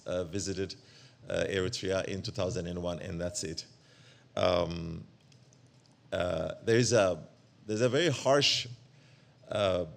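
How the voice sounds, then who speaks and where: Brief: a male guest preacher, lowish voice, gravelly, hesitant delivery. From Ethiopia. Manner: hesitant, halting, many fillers and restarts. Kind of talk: church sermon.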